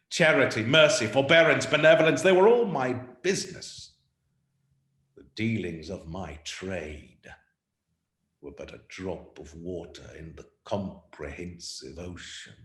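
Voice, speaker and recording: A tormented male ghost declaims slowly in a roomy echo. His grave, remorseful words swell and sink with theatrical weight, in the manner of a radio play. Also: deep voice, full character voice. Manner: solemn, drawn-out declamation with big swells and hushed drops. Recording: roomy, echoing sound.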